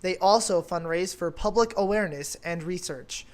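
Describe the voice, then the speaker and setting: A woman's voice: on the low side, normal speed. A young woman reading scripted news as an anchor in a mock newscast. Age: young adult.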